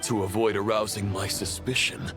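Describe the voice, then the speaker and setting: An actor playing a cold, gloating villain in a video game, speaking in a pompous, formal, theatrical way. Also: male voice, fairly low pitch, level tone, normal speed, over music, close to an everyday voice. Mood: grim realization. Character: cold, gloating male villain, doubting miracles.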